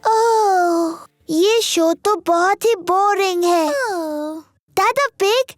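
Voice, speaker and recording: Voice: extremely high pitch, extremely slow, no room sound, clean studio sound, soft background music, exaggerated voice. A performer voicing a cheerful, eager little girl piglet in a children's cartoon, playful and blunt. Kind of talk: children's cartoon dub in Hindi.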